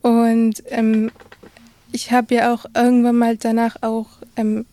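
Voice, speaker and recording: A woman's voice: fairly high pitch, monotone, slow, warm tone. A young woman whose first language is Arabic, telling her own story in a discussion with frequent hesitations. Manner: hesitant, many fillers and restarts. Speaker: guest sharing her experience. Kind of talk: personal account in a discussion.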